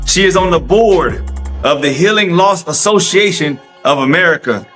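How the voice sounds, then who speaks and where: A man co-hosting a podcast who reads out a guest's introduction in a measured, announcing tone. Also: sits high, lively intonation, a bit bright, faint music behind. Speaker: podcast co-host.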